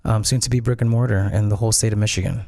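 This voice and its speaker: A man's voice: on the low side, fairly even intonation, very fast, vocal fry, warm tone, no room sound, studio quality. A man from Peru, a restaurant founder and interview guest, explaining at length in a thoughtful, easy way. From Peru and Miami. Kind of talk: casual interview.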